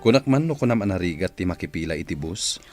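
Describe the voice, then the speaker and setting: A man's voice: low voice, lively intonation, fast talker, a little mellow, soft background music. A man playing a brash young company boss in an Ilocano radio comedy drama, with an Ilocano accent. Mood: curt, stern.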